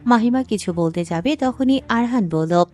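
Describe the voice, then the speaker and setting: A woman's voice: on the high side, animated, normal speed, darkish tone. A woman narrating a scripted dramatic story as its storyteller.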